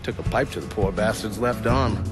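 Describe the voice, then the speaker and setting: An actor playing a cynical, foul-mouthed informant in a video game cutscene, with a wry, crude, matter-of-fact delivery. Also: male voice, on the low side, normal speed, over loud music, close to an everyday voice. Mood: wry briefing.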